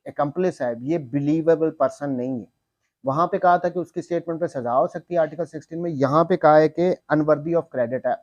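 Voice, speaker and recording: A man's voice: on the high side, darkish tone, no echo, highs cut off. A man with a Pakistani accent giving an explanatory law lecture, didactic and repeating key points, mixing in English legal terms.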